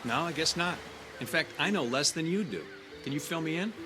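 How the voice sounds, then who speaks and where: Guy playing wry lab newbie in a radio drama, sarcastic, lightish pitched male voice, music in background. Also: animated, a little fast, lightly acted. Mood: wry, probing. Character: discontented, wry male newcomer at a research lab. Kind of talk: naturalistic audio drama acting.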